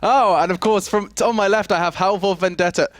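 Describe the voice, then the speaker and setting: A man hosting an esports analysis desk, quick and playfully self-mocking as he chats with his co-analyst. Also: high voice, steady tone. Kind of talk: studio desk analysis chat.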